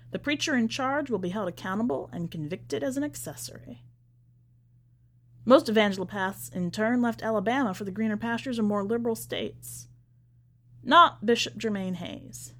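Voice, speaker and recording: A woman reading a short story aloud as a podcast narrator, with dramatic scripted delivery. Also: medium pitch.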